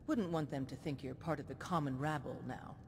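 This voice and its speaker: Casting: actor as a stern old war-horse ruler, formal, slightly high voice, long reverb. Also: male voice, natural voice. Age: older. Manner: measured, formal and commanding. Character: older male jarl, a former soldier, stern and dutiful. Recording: game audio with long reverb and faint rumble.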